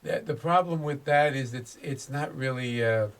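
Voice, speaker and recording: A man's voice: medium pitch, moderate pace, clear, resonant tone, far from the mic. A man who runs a store, answering a radio interview in a hesitant way and listing his goods plainly. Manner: hesitant, lists items plainly. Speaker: guest store owner.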